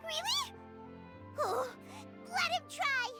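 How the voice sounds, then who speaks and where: A woman voicing a small, excitable, cheeky fairy companion with childlike, exclamatory delivery in a video game. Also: extremely high pitch, slow pace, over music, exaggerated voice. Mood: defiant. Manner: exclamatory, refers to self in third person.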